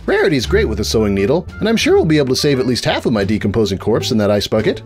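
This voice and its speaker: A man's voice: mid-range voice, huge pitch swings, very fast, faint music behind, pitched above the performer's own voice. A man voicing a young female undead pony sorceress, stubbornly optimistic, eager and breezy in a comic storybook reading. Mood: cheerfully dismissive. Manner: eager, breezy, brushing off problems.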